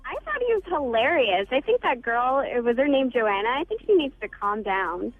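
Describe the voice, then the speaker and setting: A woman's voice: on the high side, very animated, normal speed, no room sound, faint music behind. A woman speaking casually as a caller on a radio show, over a narrow telephone line. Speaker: phone-in caller. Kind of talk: casual chat.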